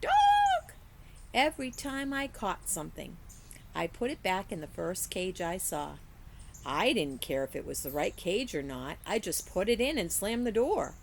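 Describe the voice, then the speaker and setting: A woman reading a children's story aloud like a teacher, in a deliberate, careful storytelling way. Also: mid-range voice, gravelly, a little hiss or hum. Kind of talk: expressive children's story narration.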